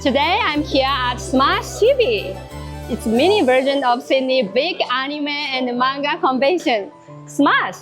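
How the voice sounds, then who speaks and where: A woman with a high, very lively voice hosts a casual vlog. She is effusive and admiring as she presents and interviews. Music plays clearly behind her. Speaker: vlog host interviewing attendees. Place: anime convention.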